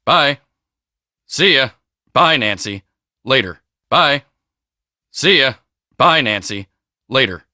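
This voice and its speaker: A man playing a guarded teenage high school football player in scripted video game lines. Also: lively intonation, slow pace, long pauses, pushed and bright, dry recording, clean studio sound, heavily acted. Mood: casual, curt.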